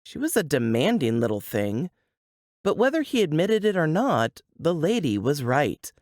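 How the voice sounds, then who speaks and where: A woman's voice: deep voice, very animated, moderate pace, a little mellow, dry recording, studio quality. A woman reading scripted fiction narration as an audiobook narrator.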